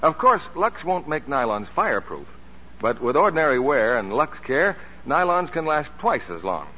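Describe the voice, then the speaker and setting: A man's voice: on the high side, big pitch swings, normal speed, husky. A man announcing and selling on 1940s radio, with scripted, emphatic sales delivery. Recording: dull, reverberant, faintly noisy old radio recording.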